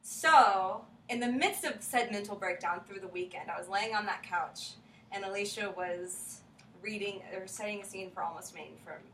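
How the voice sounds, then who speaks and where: A woman casually introducing a play, chatty and effusive, telling it in an animated way. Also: mid-range voice, moderate pace. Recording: roomy, distant mic, faint noise.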